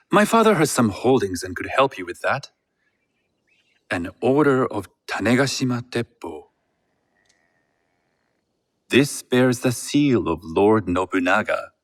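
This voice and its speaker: An actor playing a shrewd, composed merchant heir in a game set in feudal Japan, speaking in a measured, formal way. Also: male voice, on the low side, big pitch swings. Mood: guarded, appraising. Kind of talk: video game cutscene acting.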